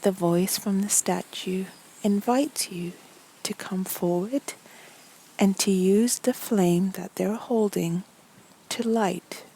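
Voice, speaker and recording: A woman narrating a guided meditation story in a soothing, deliberate way, with a soft, breathy voice that often nears a whisper and many pauses between short phrases. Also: mid-range voice, rough recording.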